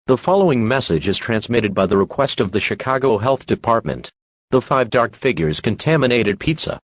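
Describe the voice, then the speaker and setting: A man's voice: medium pitch, no echo. Dry, synthetic-sounding male alert announcer. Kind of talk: scripted emergency broadcast announcement.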